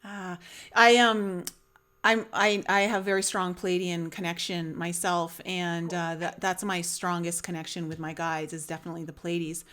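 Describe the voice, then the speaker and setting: A woman's voice: fairly low pitch, little pitch movement, normal speed, fairly bright tone. A woman hosting a podcast, chatting casually with a guest and rambling with many fillers and restarts. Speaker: podcast host. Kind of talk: casual interview chat.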